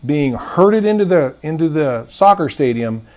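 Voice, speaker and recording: A man's voice: fairly high pitch, expressive, measured pace, a little mellow. A man speaking as an interview guest, telling anecdotes casually in a folksy, emphatic way and acting out dialogue. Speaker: gun store owner, interview guest. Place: inside his gun store.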